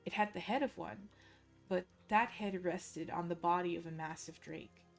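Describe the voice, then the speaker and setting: A Dutch woman, a native Dutch speaker, reading game lore aloud as a hushed, measured, suspenseful story. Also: lowish voice, creaky, soft background music. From Netherlands.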